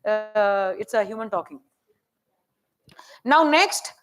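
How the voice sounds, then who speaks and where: A soft-spoken woman with an Indian English accent, teaching a hands-on AI workshop and checking in with the room often. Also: high-pitched. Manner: chatty, checks in often with "right?" and "okay?". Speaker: AI workshop presenter.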